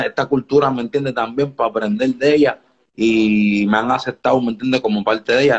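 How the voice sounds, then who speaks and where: A man's voice: fairly low pitch, husky, highs cut off. A man from the Dominican Republic, a music producer guest in a casual interview, talking in a laid-back way and often checking that the listener follows. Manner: laid-back, keeps asking "you get me?".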